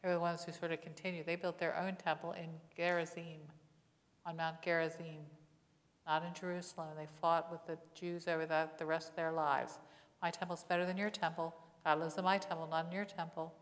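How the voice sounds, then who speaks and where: A woman's voice: deep voice, flat delivery, fairly quick. A middle-aged woman pastor preaching in a church on a lapel mic, conversational, with frequent rhetorical questions. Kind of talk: sermon. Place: church sanctuary. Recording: long church reverb.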